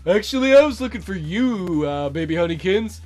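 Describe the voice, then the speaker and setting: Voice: mid-range voice, lively intonation, fairly quick, darkish tone, faint music behind, close to an everyday voice. A man voicing a smug, sleazy older male treasure hunter from a visual novel while playing it. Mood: smug, wheedling. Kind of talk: visual novel character voiced by a let's player.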